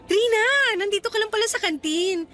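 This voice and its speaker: A woman's voice: very high-pitched, normal speed, bright, forceful tone. A woman playing a smug, spiteful young coworker in a radio drama, taunting and unapologetic. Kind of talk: Tagalog radio drama acting.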